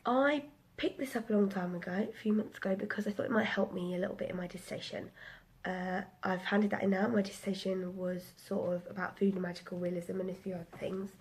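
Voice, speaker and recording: A woman's voice: mid-range voice, steady tone, fast, a little mellow, slightly rough. A woman in her twenties chatting casually about the books she read, rambling with lots of ums, a little bunged up. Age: 20s. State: a bit bunged up. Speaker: book vlogger. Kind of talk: casual book review vlog.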